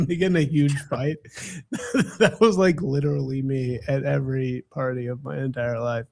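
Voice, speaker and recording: A man in his thirties hosting a podcast, chatting casually with friends, excitable and incredulous, laughing often and swearing freely. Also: mid-range voice, normal speed, vocal fry. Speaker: podcast host. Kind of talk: casual banter among friends.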